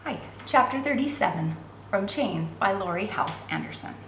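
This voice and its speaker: A woman's voice: medium pitch, lively intonation, moderate pace, a bit bright. Woman reading aloud in a roomy space. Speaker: reader of a novel for learners. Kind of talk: expressive read-aloud narration. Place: indoor room at home. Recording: roomy echo with faint background noise.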